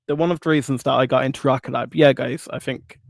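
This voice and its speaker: A man's voice: medium pitch, fairly even intonation, quick pace, gravelly, no echo, clean studio sound. Man talking straight to viewers. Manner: earnest, reasoning, speaks to viewers directly. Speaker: co-host. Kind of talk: casual livestream chat.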